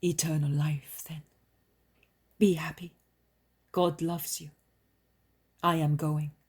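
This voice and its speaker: A woman reading the lines of a calm, commanding male holy healer in a solemn storybook voice. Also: deep voice, slow, breathy edge, full character voice.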